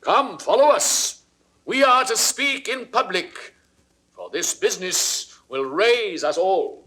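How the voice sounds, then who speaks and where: A man's voice: high voice, expressive, slow pace, sharp tone, exaggerated voice. An actor playing a jealous, imperious king in declamatory, haughty Shakespearean audio drama. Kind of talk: classical Shakespearean stage acting.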